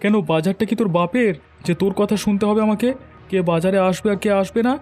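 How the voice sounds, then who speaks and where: A man's voice: sits high, quick pace, darkish tone, faint music behind, natural voice. A man playing a poor young villager, earnest but defiant, in a cartoon. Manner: pleading or talking back. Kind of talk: cartoon dialogue acting.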